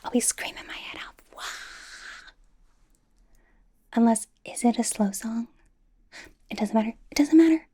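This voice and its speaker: A woman's voice: fairly high pitch, brisk, mellow, slightly rough. A woman playing a bubbly, encouraging young K-pop idol in an ASMR personal-attention roleplay, whispering breathily in a rambling, giggly, reassuring way. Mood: encouraging, playful. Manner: rambling, giggly, reassuring, casual youthful phrasing.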